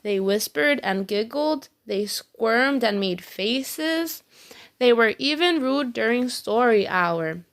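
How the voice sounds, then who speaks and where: Unhurried, high-voiced woman reading to children. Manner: expressive, deliberate storytelling. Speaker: storybook reader. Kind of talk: scripted children's read-aloud narration.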